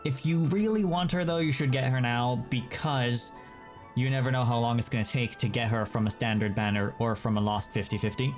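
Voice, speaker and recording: A man gives casual gameplay commentary in a mid-pitched voice. He is chatty and jokes at his own expense. Soft game music runs behind him. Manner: chatty, self-joking. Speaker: gaming video host.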